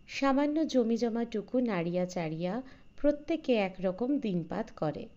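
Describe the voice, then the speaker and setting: A woman narrating a literary short story in a measured, formal storytelling manner. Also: mid-range voice, warm tone, dull audio. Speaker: audiobook narrator.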